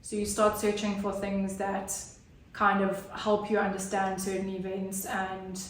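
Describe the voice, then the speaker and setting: A woman speaks earnestly, pausing often on fillers. Her voice sits at an ordinary pitch for a woman and sounds a little distant from the mic. The room is very echoey. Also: monotone. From Zimbabwe. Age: 30s. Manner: earnest, hesitant, many fillers. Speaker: guest. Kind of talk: personal story in an interview.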